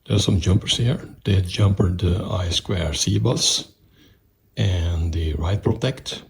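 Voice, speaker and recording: A man presenting a technical explanation of an electronics project in a halting, methodical, step-by-step way. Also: low voice, gravelly, slight background noise.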